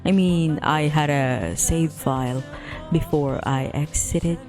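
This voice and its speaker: A woman hosts a casual game stream with music playing behind her. Her voice sits a little low and moves at a very slow pace. She is giggly and quick to exclaim. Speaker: livestreamer host. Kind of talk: casual livestream chat while setting up and playing a visual novel. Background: background music.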